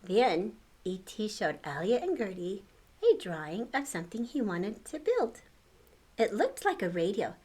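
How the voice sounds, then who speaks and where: A woman's voice: medium pitch, very animated, a little slow, warm tone, husky. An older woman reading a storybook aloud to children, expressively, with exclamations and questions to the listener.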